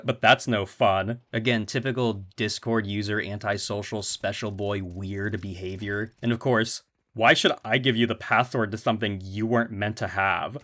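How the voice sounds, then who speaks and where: A man's voice: medium pitch, a bit bright. A man delivering a sarcastic, exasperated commentary rant with casual profanity. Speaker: commentary YouTuber.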